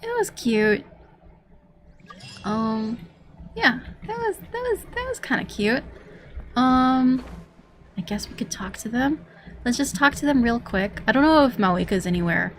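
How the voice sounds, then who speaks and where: A young woman chats casually through a game stream in a fairly high voice. Her delivery is giggly and informal, with faint noise in the background. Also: big pitch swings, unhurried, pauses often, low-quality recording. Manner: giggly, chatty. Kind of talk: casual live gameplay commentary.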